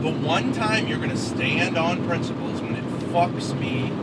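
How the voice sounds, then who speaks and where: A man's voice: a little high, animated, normal speed. A middle-aged American man in a bitter, accusatory, profane argument, livestreaming from inside a car. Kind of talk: heated argument on a live road-trip stream. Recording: loud car and road noise. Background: loud engine and road noise.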